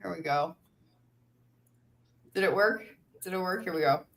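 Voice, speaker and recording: A woman co-hosting a casual livestream on a video call, upbeat and quick to react. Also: lowish voice, creaky, a bit bright. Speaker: co-host.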